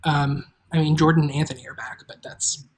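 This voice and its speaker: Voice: medium pitch, steady tone, a little fast, slight background noise, poor audio. An informal, chatty host speaking in a midrange voice on a casual livestream. The delivery rambles loosely, like talk among friends who make an audio drama together.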